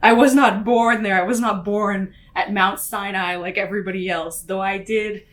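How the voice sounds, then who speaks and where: Young woman, casual cooking chat, chatty, quick laughs, mid-pitched voice. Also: fairly even intonation. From Canada. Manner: chatty, laughs often, many fillers. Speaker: author and vlogger.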